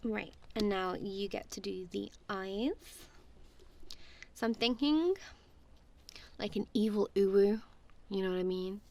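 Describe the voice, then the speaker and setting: A woman's voice: medium pitch, measured pace, long pauses, warm tone, close to an everyday voice. A woman playing a goofy, affectionate friend in an ASMR roleplay, chatting casually in a playful, giggly, rambling way.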